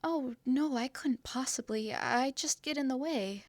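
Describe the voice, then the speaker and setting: A woman's voice: sits high, a little slow, dry recording, clean studio sound. A woman voicing a shy young winged girl who travels with her cat, speaking in a hesitant, apologetic way. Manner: hesitant, apologetic, soft-spoken. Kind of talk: fantasy audio drama acting.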